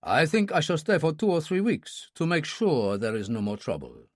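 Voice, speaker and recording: A man voicing a calm older male Chinese Shaolin monk and kung fu master who speaks in a slow, measured, formal way. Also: on the high side, no echo, studio quality.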